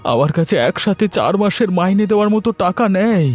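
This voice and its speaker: Guy doing a poor soft-hearted fisherman dad in a cartoon, pleading and earnest, bit of music behind. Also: high voice, animated, brisk. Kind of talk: cartoon story voice acting.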